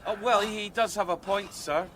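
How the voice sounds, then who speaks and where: A man's voice: high voice, fairly even intonation, moderate pace, fairly bright tone. An actor playing a polite, boyishly eager British police sergeant in a radio drama, tentative in his way of talking. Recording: noisy outdoor scene with vehicle sounds.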